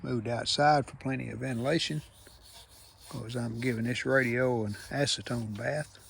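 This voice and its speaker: A man's voice: medium pitch, steady tone, moderate pace, vocal fry, fairly bright tone, slight background noise. A man narrating a hands-on restoration casually, thinking aloud in short, plainspoken phrases. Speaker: restoration video host.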